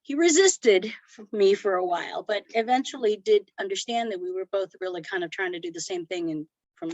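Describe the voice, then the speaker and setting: A woman's voice: medium pitch, brisk, darkish tone, dry recording. A middle-aged woman born in Cuba, a podcast guest talking reflectively with frequent fillers and restarts. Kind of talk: conversational interview. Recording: dull lo-fi sound, dry.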